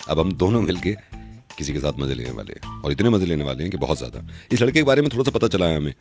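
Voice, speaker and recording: A man's voice: very deep voice, very animated, very fast, soft background music. A man hosting a radio prank show, giving a playful, casual introduction.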